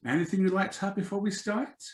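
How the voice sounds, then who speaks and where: A man's voice: high voice, fairly even intonation, fast, lightly acted. A man playing a smug, easily panicked blackmailer in a live radio thriller reading, recorded in a roomy space. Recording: roomy home video call.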